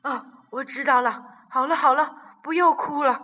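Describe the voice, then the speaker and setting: A woman voicing a gruff male tyrannosaurus who turns into a tender father in a children's audio story. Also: sits high, normal speed, bright, forceful tone. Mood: soothing. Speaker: voice actor. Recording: reverberant with music behind.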